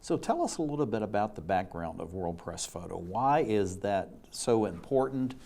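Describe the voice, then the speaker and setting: A man's voice: fairly low pitch, lively intonation, normal speed, vocal fry, warm tone. A man hosting an interview show, asking long rambling and enthusiastic questions. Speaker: talk show host.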